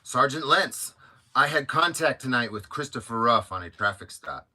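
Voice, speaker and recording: A man's voice: medium pitch, lively intonation, normal speed, bright, forceful tone. A man, around his thirties, giving sarcastic commentary as he reads a document aloud for a video. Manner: mocking, sarcastic. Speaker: video host.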